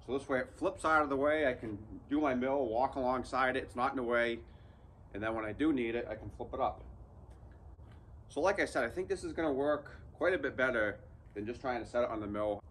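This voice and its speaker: A man's voice: medium pitch, brisk, a bit bright, slight background noise. A man in his thirties, a channel host, talking viewers through a practical build outdoors in a casual, direct way. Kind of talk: casual how-to vlog talk. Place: outdoors under an open sawmill shed in woods.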